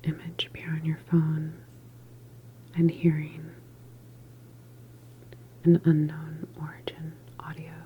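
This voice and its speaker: A woman performing an ASMR medical roleplay as a calm government doctor, speaking in a deliberate, reassuring clinical manner. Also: deep voice, narrow, tinny audio, slight background noise. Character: calm female government doctor examining a patient.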